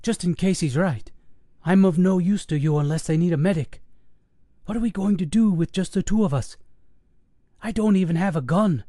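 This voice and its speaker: A cautious, soft-spoken paramedic, as a man puts him on, talking in a raised, gentle voice. He sounds careful and a little uneasy. The recording is dry. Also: high-pitched, normal speed, pauses often, dry recording, voice pushed up. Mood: worried.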